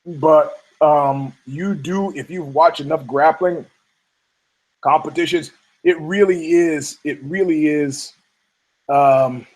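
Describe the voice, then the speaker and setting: Hoarse, husky-voiced man rambling on a podcast. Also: on the high side, slow, a little mellow, poor audio. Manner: rambling, profane, frequent restarts. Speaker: podcast host. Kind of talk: casual rambling monologue.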